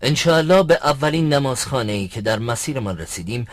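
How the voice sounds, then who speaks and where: Casting: male actor as a devout, commanding cartoon convoy leader, earnest, mid-pitched and very animated. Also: no room sound, studio quality, lightly acted. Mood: cautious. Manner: earnest, authoritative. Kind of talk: dubbed cartoon dialogue.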